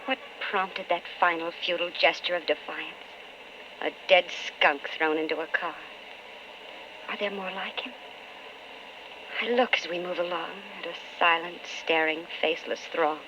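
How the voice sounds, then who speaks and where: An actress playing a candidate's anxious, devoted wife in an old-time radio drama, earnest and melodramatic, with brooding narration. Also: female voice, lowish voice, normal speed, lightly acted. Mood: brooding, foreboding. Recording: noisy, muffled vintage radio recording.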